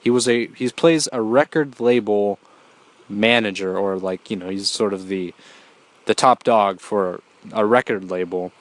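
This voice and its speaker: A man's voice: on the low side, measured pace, dry recording. Congested man rambling through a review. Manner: rambling, filler-heavy, swears casually. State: congested from a cold. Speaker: podcast host. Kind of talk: casual solo movie review.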